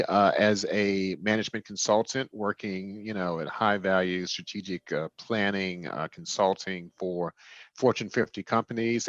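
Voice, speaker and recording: A man in his sixties speaks in a low register, as an interview guest talking through his own experience. He explains things conversationally and in a personal, discursive way. The audio is dull and somewhat lo-fi. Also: no room sound. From Washington, D.C. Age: 60s. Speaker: interview guest, real estate developer.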